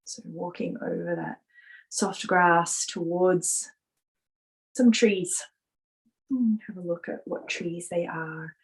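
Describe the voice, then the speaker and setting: A woman leading a guided visualisation meditation, speaking softly in a gentle, soothing manner with drawn-out pauses. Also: fairly low pitch, fairly even intonation, poor audio.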